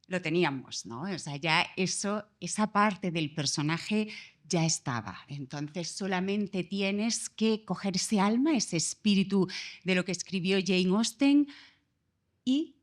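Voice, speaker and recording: A woman speaking on a panel at a public event, answering quickly and animatedly, often checking in with rhetorical tags. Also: fairly low pitch. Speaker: director.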